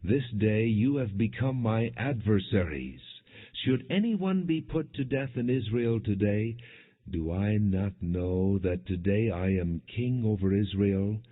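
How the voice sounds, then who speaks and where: A man's voice: fairly low pitch, animated, a little slow. A man reading the words of an authoritative, formal king in a scripture audiobook. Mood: stern. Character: authoritative king of Israel, stern yet merciful. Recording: dull, muffled lo-fi audio.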